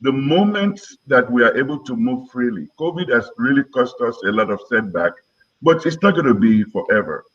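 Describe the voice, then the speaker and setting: A man's voice: mid-range voice, animated, moderate pace, breathy edge. Older Nigerian man, dull muffled recording. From Lagos, Nigeria. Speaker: interview guest, politician and broadcaster. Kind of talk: measured interview answers.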